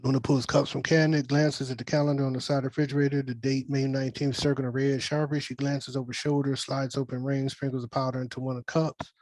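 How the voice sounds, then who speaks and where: A man narrates stage directions in a flat, even voice of medium pitch. The sound is dry and close, and the delivery is that of a scripted table read. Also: quick pace, few pauses, no echo, rough recording.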